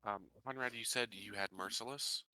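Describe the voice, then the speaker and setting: A man's voice: on the low side, moderate pace, sharp tone, no room sound, low-quality recording. A man chatting casually as a player in a tabletop roleplaying game.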